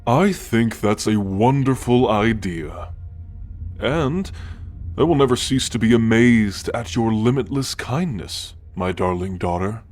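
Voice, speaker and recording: Guy doing a kindly old god grandpa, slow and deep-ish, wise, affectionate, music underneath. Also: lively intonation. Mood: solemn, commanding. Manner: slow, deliberate, affectionate, with sighs and soft chuckles. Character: ancient godlike father and great-grandfather, gentle, wise, quietly commanding. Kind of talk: scripted ASMR audio roleplay. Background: background music.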